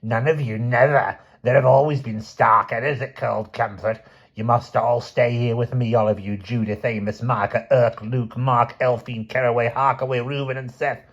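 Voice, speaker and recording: A man voicing a tyrannical, shrill old grandmother who wails and screams for her family. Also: fairly even intonation, moderate pace, lightly acted. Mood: possessive. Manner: wailing, screaming. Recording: dull lo-fi recording.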